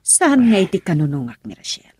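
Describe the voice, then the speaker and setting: A woman's voice: lowish voice, very animated, fairly quick, a little mellow, slightly rough, no echo, exaggerated voice. An actress playing a stern, worried middle-aged mother scolding her son, in Ilocano radio drama style with an Ilocano accent. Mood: questioning sternly.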